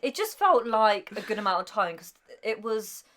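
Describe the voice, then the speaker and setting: A woman speaks in an easy, conversational way at an average pitch. She is co-hosting a reaction show and talks informally, thinking aloud. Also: big pitch swings, normal speed, a bit bright. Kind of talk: casual chat.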